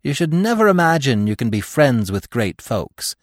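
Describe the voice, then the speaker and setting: A man's voice: medium pitch, big pitch swings, normal speed, no echo, clean studio sound, voice pushed up. A man voicing a lonely, bitter owl who broods and sulks. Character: grumbling lonely owl, sulky and self-pitying.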